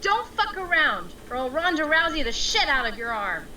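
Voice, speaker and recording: A woman's voice: high-pitched, very animated, moderate pace, bright, forceful tone, a little hiss or hum, full character voice. A woman playing a tough, ruthless female enforcer in a script table read, blunt and commanding.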